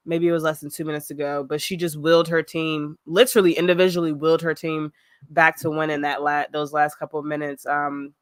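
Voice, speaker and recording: A woman fighting off a cold talks basketball in a relaxed, chatty way. Her voice is bright and smooth despite the cold, and it sits close and dry with no room sound. She has an easy laugh. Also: low-pitched, no room sound. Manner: laughs easily, relaxed and conversational. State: sick with a cold, voice rough. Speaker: podcast co-host. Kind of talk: casual basketball chat.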